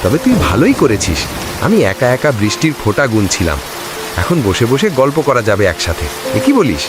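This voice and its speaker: A man's voice: on the low side, huge pitch swings, a little fast. An easygoing cartoon village man, very swoopy voice, music behind. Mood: welcoming. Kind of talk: cartoon voice acting.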